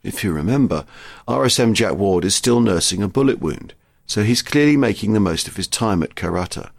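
A man's voice: on the low side, expressive, a little fast, vocal fry, no room sound, clean studio sound. A man co-hosting a history podcast, giving explanatory narration. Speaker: podcast co-host.

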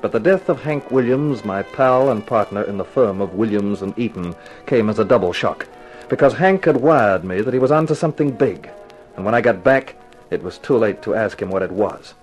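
Low-voiced, wisecracking hard-boiled private eye, acted by a man. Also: expressive, moderate pace, natural voice, low-quality recording. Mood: wry, terse. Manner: wry, slangy, clipped delivery. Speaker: actor and first-person narrator. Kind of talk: 1950s radio detective drama acting and narration. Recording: 1950s radio recording with music behind.

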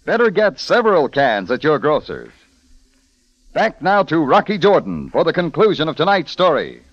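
Old time american ad man, upbeat, highish swooping voice, muffled radio sound. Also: wildly swooping pitch, moderate pace. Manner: upbeat, polished sales patter. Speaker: announcer. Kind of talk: scripted 1940s radio commercial. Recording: dry, dull old radio recording.

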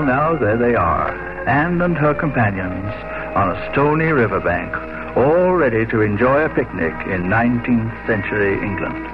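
Measured male narrator over loud music. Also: medium pitch. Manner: literate, measured storytelling. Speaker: host and narrator. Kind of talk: scripted 1950s radio drama narration. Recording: muffled old radio with loud music.

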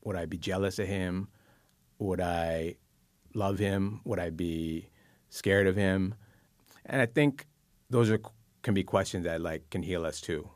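A man with a low, deep voice talks through ideas in a thoughtful, musing way, pausing often and filling gaps as he thinks. The sound is close and dry, with no room around it. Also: no echo. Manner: thoughtful, musing, with pauses and fillers. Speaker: book columnist and psychotherapist. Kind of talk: reflective interview conversation.

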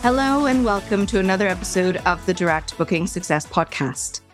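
A woman's voice: mid-range voice, lively intonation, fairly quick, over music. A woman hosting a podcast, reading her intro and asking a guest questions in a friendly way. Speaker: podcast host. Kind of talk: scripted intro, then interview.

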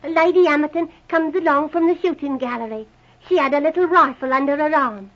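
An actress playing a loyal, simple English housemaid with a working-class accent, deferential and earnest, in 1940s radio drama style. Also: female voice, high-pitched, brisk. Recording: muffled old radio recording.

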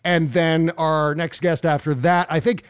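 A man's voice: on the high side, flat delivery, unhurried, clean tone, dry recording, studio quality. A man hosting a podcast, chatty and jokey, delivering upbeat casual banter with announcer-like flourishes. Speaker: podcast host.

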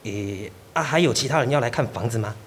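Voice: medium pitch, expressive, fairly quick, slightly rough. A nervous male burglar in his thirties, as a performer puts him on in an amateur radio play. His voice swings up and down widely, guarded and jumpy, as he tries to hide his real aims. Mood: wary. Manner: wary and uneasy, turning to panicked screaming. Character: male burglar aged 36 posing as a renter, wary. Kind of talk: amateur radio drama acting.

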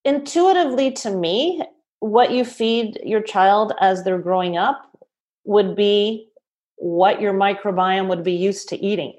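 A woman from Toronto, a health practitioner, gives measured explanations and is careful to call her ideas observations. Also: mid-range voice, a little mellow. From Toronto, Canada. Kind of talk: explanatory interview answers.

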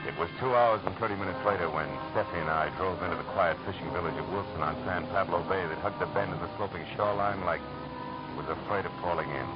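Male lead: hard-boiled detective, deadpan wisecracks, deep voice; muffled lo-fi radio sound. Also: lightly acted. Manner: dry, sardonic wisecracks. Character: hard-boiled male private eye, wry and dry. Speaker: narrator and detective. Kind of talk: 1940s radio acting. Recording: hissy dull old radio broadcast.

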